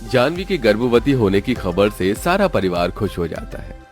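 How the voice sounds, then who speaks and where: A man's voice: on the low side, very animated, moderate pace, darkish tone. A man narrating a scripted story as a storyteller. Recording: music under the voice. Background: background music.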